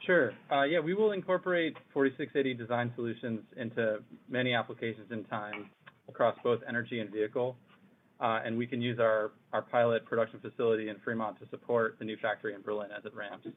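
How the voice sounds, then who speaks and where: A man's voice: mid-range voice, fairly even intonation, fast talker, slight background noise. A man giving technical explanations as an executive on a business call. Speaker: technical executive answering questions. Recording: thin phone-line conference audio.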